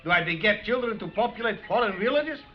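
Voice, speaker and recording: Blustering patriarch, high-pitched. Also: male voice, expressive, quick pace. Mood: angry, threatening. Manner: bombastic, grandiose, commanding speech. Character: blustering, domineering Armenian patriarch, huge and hot-tempered. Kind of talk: old-time radio drama acting. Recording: dull vintage radio recording.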